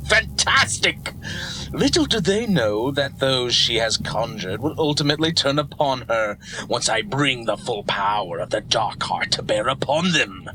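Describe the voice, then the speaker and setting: A man's voice: medium pitch, lively intonation, a little slow, sharp tone, a little hiss or hum, low-quality recording. A man playing a scheming, gloating snake villain lord in a fan audio drama, with a mocking, theatrical and cruel manner.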